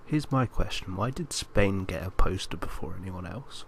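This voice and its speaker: A man's voice: low-pitched, animated, moderate pace, slight background noise. A man co-hosting a casual film podcast, teasing and posing questions in a mock-serious way. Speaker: podcast co-host. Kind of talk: casual chat about films.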